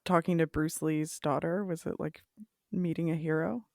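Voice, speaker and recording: A woman's voice: low-pitched, fairly even intonation, moderate pace, a little mellow, dry recording. Woman co-hosting a casual interview, dry sound. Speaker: podcast co-host interviewer.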